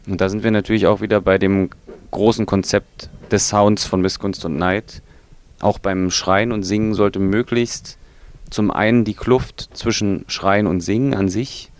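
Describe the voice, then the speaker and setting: A man from a metal band giving a documentary interview, explaining things in a thoughtful, measured way. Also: fairly low pitch, fairly even intonation. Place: recording studio.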